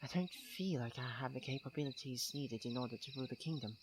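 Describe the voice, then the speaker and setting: Guy doing a young tsundere prince for asmr roleplay, posh formal wording, proud but soft hearted, crickets chirping over it. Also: medium pitch, fast, natural voice. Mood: earnest, reflective. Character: young tsundere prince, haughty yet tender-hearted childhood friend. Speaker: roleplay voice actor. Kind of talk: ASMR roleplay acting, intimate monologue to the listener. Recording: clear ambient noise with chirping crickets. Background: night crickets and insects.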